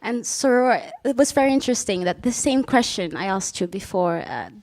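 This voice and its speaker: A woman's voice: mid-range voice, lively intonation, a little fast, a little mellow. Woman moderating a panel. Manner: thoughtful, restates answers to check them. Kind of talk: live panel discussion. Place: stage talk before an audience, no microphones.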